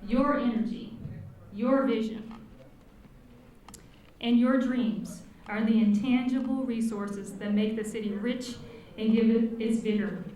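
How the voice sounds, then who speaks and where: A woman delivers a formal, scripted address, her voice sitting a bit high. The sound rings through a large hall with strong echo. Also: fairly even intonation, slow, pauses often, warm tone, slight background noise. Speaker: city commissioner introducing speaker. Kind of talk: prepared speech. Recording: echoey hall.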